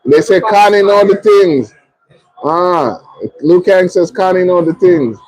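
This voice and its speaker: A man's voice: high-pitched, measured pace, a little mellow, poor audio. A man with a Jamaican accent, chatting casually as host of a live stream. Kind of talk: casual chat.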